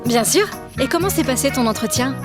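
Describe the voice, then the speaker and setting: An actress playing a brainy, teasing young woman student in a French audio drama, playful and expressive in her manner. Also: high-pitched, a little fast. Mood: cheerful. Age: young adult. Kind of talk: French audio fiction acting. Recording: music behind the voice. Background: music.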